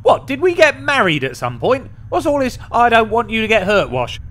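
A man's voice: very high-pitched, wildly swooping pitch, normal speed, a bit bright, pitched above the performer's own voice, heavily acted. A man voicing an indignant, sarcastic floating skull sidekick in a video game, exclamatory and needling.

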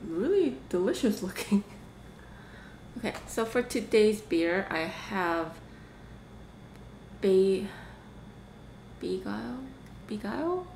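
A young woman talks slowly and casually, thinking aloud while she cooks and eats. Her voice bounces around a small echoey room. Dishes and cutlery clatter clearly behind her. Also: medium pitch, slight background noise. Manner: relaxed, chatty, thinking aloud. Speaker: mukbang host. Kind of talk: casual chat while cooking and eating. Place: small home kitchen room, indoors. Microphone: desk microphone on stand. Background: cooking and dish clatter.

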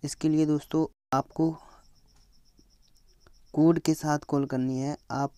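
Even-toned Indian man, smooth but dull recording. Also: a little high, takes it slowly, no echo. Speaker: tutorial host. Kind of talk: step-by-step phone app tutorial voice-over. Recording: slightly dull, lo-fi, dry close recording.

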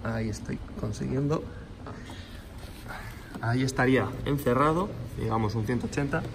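A man's voice: medium pitch, moderate pace, vocal fry. A man walking around a motorcycle in an indoor workshop, explaining it to the camera filmed on a handheld phone. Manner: explanatory, shifts to raised voice over a running engine. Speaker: motorcycle workshop owner showing a bike for sale. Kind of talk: hands-on walkaround video. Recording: noisy room with engine sound.

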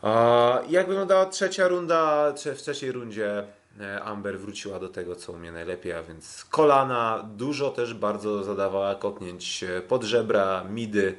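A man hosting a podcast, giving a casual sports recap in a halting way with frequent filled pauses. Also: on the low side. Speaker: podcast host.

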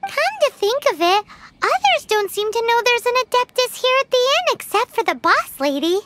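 Very high squeaky fairy girl. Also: big pitch swings, moderate pace, very noisy. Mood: curious. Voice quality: squeaky, childlike. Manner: cute, exaggerated. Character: small childlike floating fairy companion girl, bubbly and bossy. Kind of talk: anime-style video game acting.

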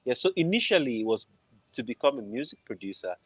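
A man's voice: medium pitch, sing-song, fast talker, husky, no echo. A middle-aged man with a Nigerian accent, telling his story casually as an interview guest on a video call. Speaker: interview guest, film composer. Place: indoor room at home, video call. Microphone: wired earphones on a video call.

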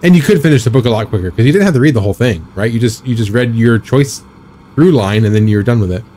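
A man in his thirties chatting casually while live-streaming a driving game, rambling with a slightly raspy, scratchy throat. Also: mid-range voice, expressive, fast talker, warm tone. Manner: rambling, chatty, reads and answers chat.

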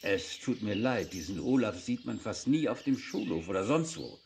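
A man's voice: on the low side, lively intonation, normal speed, a little mellow. An actor playing a shifty, irritable male schoolteacher in a radio play. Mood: apologetic, scheming. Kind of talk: hobby German radio play acting. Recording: faint noise with birdsong.

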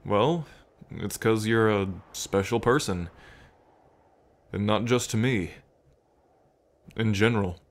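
A man playing a fond, reflective dragon king in an intimate ASMR roleplay, musing aloud to the listener with pauses. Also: fairly low pitch. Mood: tender. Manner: unhurried, musing, pausing, softly chuckling between thoughts. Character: adult male dragon king, fond, reflective, proud of ancestors.

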